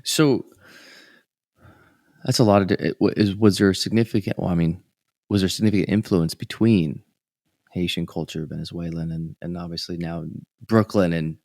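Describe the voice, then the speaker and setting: Deep gravelly guy hosting a podcast, asks stuff kinda haltingly, lots of restarts, dry sound. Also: steady tone, darkish tone, dry recording. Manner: halting, restarts often, affirming. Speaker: podcast host. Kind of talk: interview questions, casual chat.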